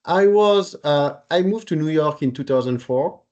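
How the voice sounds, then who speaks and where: A man in his forties from Paris with a French accent, speaking politely and haltingly as an interview guest. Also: a little high, animated, a little mellow, dull audio. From Paris, France. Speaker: podcast guest, renewable energy executive. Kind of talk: conversational career account in interview.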